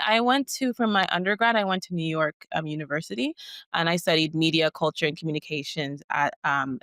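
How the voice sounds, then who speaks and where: A woman who grew up in Egypt, answering as an interview guest in measured, halting phrases. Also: sits low, fairly bright tone, no echo. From grew up in Cairo, Egypt. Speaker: guest entrepreneur.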